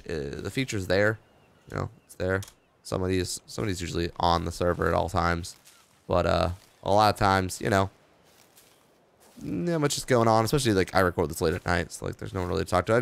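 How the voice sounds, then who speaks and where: A young man with a hoarse, worn-out voice, rambling casually through game patch notes as he plays. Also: sits low. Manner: rambling, offhand, reading patch notes aloud with asides. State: hoarse, tired voice. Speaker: gaming video host. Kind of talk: casual gameplay commentary.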